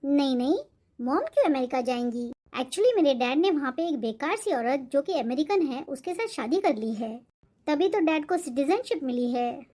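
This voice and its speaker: A woman's voice: high voice, lively intonation, moderate pace, warm tone. A woman voicing a spoiled, boastful young rich bride-to-be in a cartoon story, coquettish and demanding. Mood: matter-of-fact, boastful. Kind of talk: Hindi cartoon moral-story voice acting.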